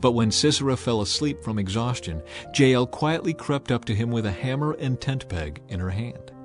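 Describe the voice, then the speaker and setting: Man, mid-pitched voice, solemn measured audio Bible narration, music underneath. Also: lively intonation. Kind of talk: scripted scripture narration.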